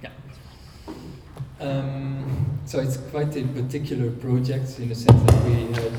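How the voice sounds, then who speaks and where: A man presenting a project at a seminar, speaking politely and hesitantly with many pauses and ums. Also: medium pitch, fairly even intonation, over loud music. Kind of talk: seminar talk. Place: seminar room. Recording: distant mic in an echoey hall.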